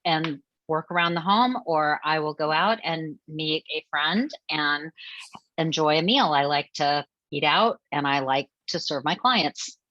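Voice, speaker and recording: A woman's voice: deep voice, measured pace, no room sound. A woman success coach casually answering personal questions as a guest in a chat. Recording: slightly dull, dry call audio.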